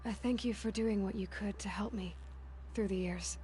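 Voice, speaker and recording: A woman's voice: medium pitch, steady tone, moderate pace, mellow, a little hiss or hum, lightly acted. A woman voicing a young female hunter in a video game, asking direct, pointed questions. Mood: resolute.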